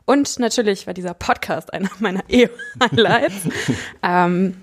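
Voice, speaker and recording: A woman's voice: medium pitch, measured pace, husky. A woman of twenty-four, chatting casually on a podcast and laughing easily. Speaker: podcast co-host. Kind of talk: casual chat.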